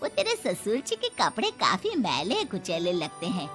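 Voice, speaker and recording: A woman's voice: medium pitch, huge pitch swings, normal speed, fairly bright tone, soft background music. A woman voicing a mild, caring older mother-in-law in a family moral story, coaxing and motherly.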